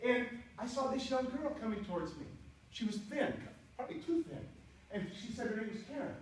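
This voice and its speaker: A man in his sixties with a strikingly high, lively voice tells a comic tall tale from a stage, full of animation and snatches of song. He is heard from well back in a very echoey hall, with a faint hiss under the room sound. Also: a little fast, far from the mic. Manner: animated, breaks into song snippets. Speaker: contest speaker. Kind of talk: humorous storytelling speech. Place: auditorium stage before an audience.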